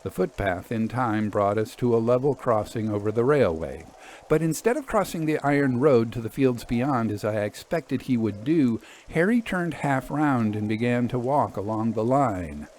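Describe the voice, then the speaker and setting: A man reading scripted narration as a storytelling host, expressive and deliberate. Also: medium pitch, a little hiss or hum.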